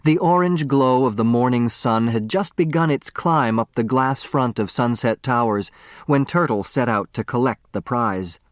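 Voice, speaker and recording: A man's voice: medium pitch, normal speed, no echo, clean studio sound. A man narrating a story from a script, in the dramatic style of an audiobook.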